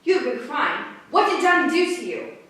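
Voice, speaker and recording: A woman performing a violent drunken father in a dramatic story, barking loud accusations. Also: sits high, very animated, moderate pace, pitched above the performer's own voice. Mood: accusing. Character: crazed drunken father, violent, bullying, shouting. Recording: echoey room from far off, faint noise.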